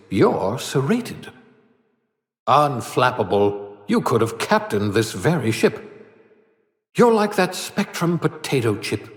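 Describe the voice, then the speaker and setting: An actor playing an eccentric older male scientist in a video game, excitable, wry and teasing, with a theatrical, quaintly wordy delivery. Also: medium pitch. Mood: amused, teasing. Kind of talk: video game character acting. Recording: echoey room with long reverb.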